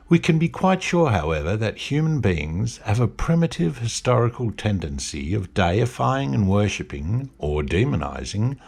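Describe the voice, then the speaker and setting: A man giving a measured, scripted lecture-style narration as a podcast host. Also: on the low side, gravelly, darkish tone, husky. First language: English.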